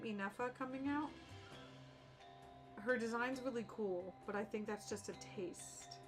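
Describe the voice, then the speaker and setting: A woman's voice: mid-range voice, fairly bright tone. Young woman chatting casually over game music. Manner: chatty, opinionated, slangy. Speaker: game streamer. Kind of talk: casual live gaming commentary.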